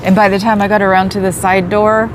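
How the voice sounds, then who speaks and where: A woman's voice: medium pitch, little pitch movement, brisk, noisy background. A woman chatting casually on a livestream, thanking viewers by name and laughing often. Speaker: livestream host. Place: in a parked car outdoors.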